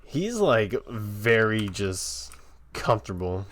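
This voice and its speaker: A woman's voice: extremely low pitch, very animated, slow. A woman giving casual live gameplay commentary as a game streamer.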